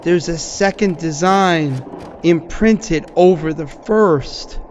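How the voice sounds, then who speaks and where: An actor playing an anxious, self-doubting ordinary man facing horror in an audio drama, talking haltingly like someone leaving a recorded message. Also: fairly high pitch, darkish tone, dull audio, slight background noise. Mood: urgent realisation. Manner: halting, nervous, conversational.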